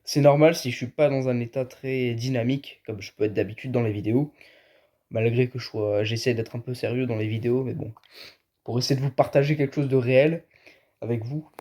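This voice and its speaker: Man, soft mellow tone, mid pitch, tired and slightly sick, casual vlogger chatting to viewers in slangy buddy talk. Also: steady tone, a little fast. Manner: colloquial, addresses viewers as buddies. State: under the weather with a flu-like illness, tired. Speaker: car video host. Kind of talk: casual opinion vlog to viewers.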